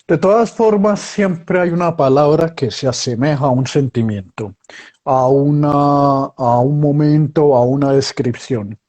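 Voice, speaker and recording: A man's voice: a little high, a little slow, darkish tone. A middle-aged man from Colombia, a guest writer, chatting casually and telling stories with many restarts. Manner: storytelling, many restarts and acted quotes. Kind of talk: casual interview conversation.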